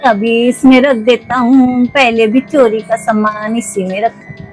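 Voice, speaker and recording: A woman's voice: a little high, fairly even intonation, a little slow, over music, natural voice. A woman voicing a sly, greedy male cook in a toy cartoon, gloating as he talks to himself.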